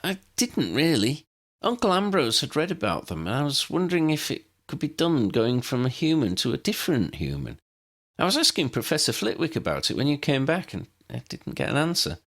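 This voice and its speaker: A man's voice: on the high side, big pitch swings, a little fast, fairly bright tone, heavily acted. A man voicing an earnest, modest, perceptive young female sleuth in an audiobook, explaining plainly.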